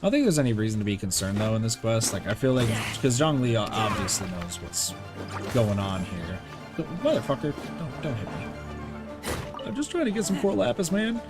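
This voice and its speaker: A man's voice: mid-range voice, big pitch swings, normal speed. A man doing casual live game commentary as a streamer, slangy and rambling, often talking to himself. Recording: game music behind the voice. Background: game music.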